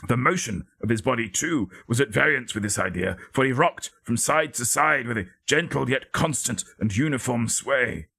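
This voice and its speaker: A man narrating a gothic story as a dramatic reading, theatrical and measured, with deliberate phrasing. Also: mid-range voice, expressive, creaky, sharp tone, slightly rough.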